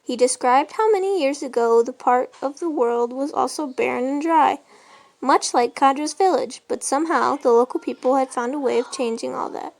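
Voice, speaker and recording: A woman's voice: high voice, moderate pace, vocal fry, darkish tone, no room sound. A woman reading a children's story aloud as narration. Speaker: storybook reader.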